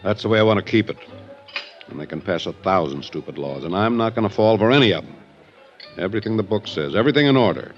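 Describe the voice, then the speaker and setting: An actor playing a weary, wry ex-bootlegger in his fifties in a radio drama, musing slowly with pauses. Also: lowish voice, animated. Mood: earnest, guarded. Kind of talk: 1950s radio drama acting. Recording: muffled 1950s radio recording with music.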